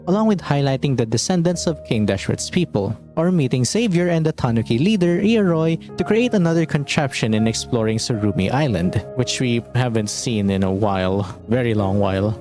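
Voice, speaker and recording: A man with a slightly high voice narrates a scripted video essay about a game. His pitch moves in very animated swings, and he sounds conversational and opinionated. Music plays behind his voice. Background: background music.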